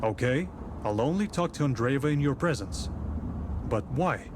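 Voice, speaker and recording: A man acting a dry, authoritative detective in a visual novel set in 19th-century Russia, with a brisk, commanding manner. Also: mid-range voice, animated, background noise, lightly acted. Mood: skeptical.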